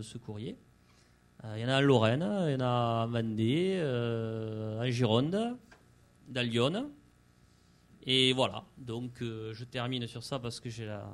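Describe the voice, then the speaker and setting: Male activist presenter, medium-pitched, speaking off the cuff at a conference with halting, repeated phrasing. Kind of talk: unscripted public presentation.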